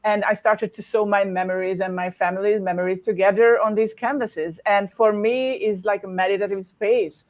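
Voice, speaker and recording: A woman from Hungary, talking as a guest artist on a video call in a warm, chatty way with laughs between her words. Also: mid-range voice, fairly even intonation, normal speed, hardly any pauses. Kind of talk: casual interview talk.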